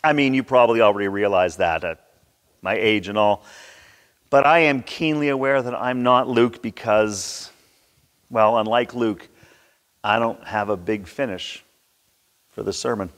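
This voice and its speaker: A man in his sixties preaching a sermon with theatrical, emphatic delivery and dramatic pauses, recorded in a church. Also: on the low side, fairly bright tone. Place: large church sanctuary, indoors at a pulpit. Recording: reverberant church hall.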